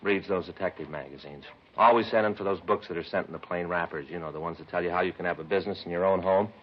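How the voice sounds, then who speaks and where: An actor with an American accent playing a put-upon police detective who grumbles in folksy deadpan in a 1950s radio drama. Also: male voice, low-pitched, fast talker. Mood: grumbling. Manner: folksy, aggrieved deadpan storytelling. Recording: muffled vintage radio recording, hissy.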